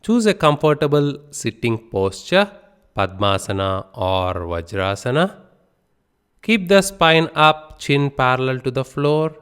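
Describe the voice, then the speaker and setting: A man guiding a beginner meditation session in a calm, unhurried way. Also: medium pitch, clean tone. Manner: slow, calm, unhurried guidance with pauses. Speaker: meditation instructor. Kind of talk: guided meditation instruction.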